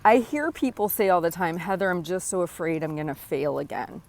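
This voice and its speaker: A woman in her forties hosting a podcast, talking earnestly and encouragingly straight to the listener, often checking in with a quick "right?". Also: on the low side, animated, a little mellow. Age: 40s. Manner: earnest, encouraging, direct address with frequent "right?". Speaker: podcast host. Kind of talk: motivational solo talk.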